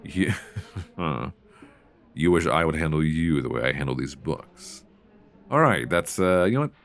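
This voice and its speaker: A man playing a mild-mannered, flirtatious middle-aged librarian who can turn quietly commanding, in an intimate, conversational ASMR roleplay on a close mic. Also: a bass voice, animated, measured pace, gravelly, heavily acted. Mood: teasing, bashful. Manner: conversational, hesitant, teasing. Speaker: audio roleplay performer. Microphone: close binaural microphone.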